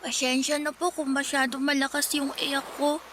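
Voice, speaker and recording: A woman's voice: high-pitched, flat delivery, normal speed, noisy background, close to an everyday voice. A woman voicing a polite, sick young boy in a radio drama, with a small, meek, childlike voice. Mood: meek, weary. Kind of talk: Tagalog radio drama acting.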